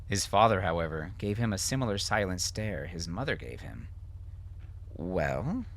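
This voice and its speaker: A man's voice: sits low, expressive, a little fast, gravelly, a bit bright. A man reading scripted fiction as an audiobook narrator.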